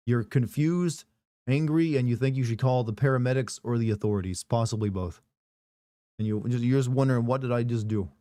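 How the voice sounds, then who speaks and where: A man with a hoarse, recovering voice, casually chatting on a live stream and reading viewer messages aloud with rambling, joking asides. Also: medium pitch, dark tone, dry recording, clean studio sound.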